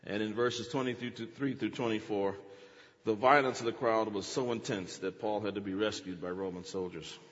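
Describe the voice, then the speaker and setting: A man's voice: fairly low pitch, fairly even intonation, moderate pace, big-room sound, lo-fi sound. A man preaching a teaching sermon, dramatic, acting out quotes with big swings in his voice.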